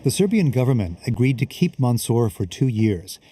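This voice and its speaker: A man's voice: medium pitch, sing-song, normal speed, background noise. A man working as a reporter, reading scripted narration for a documentary. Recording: music under the voice. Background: background music.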